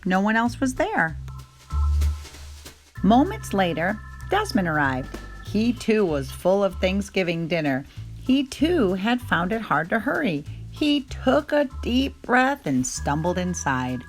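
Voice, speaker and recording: A woman reading a children's picture book aloud in an expressive, gentle storytelling manner. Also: medium pitch, slow pace, faint music behind.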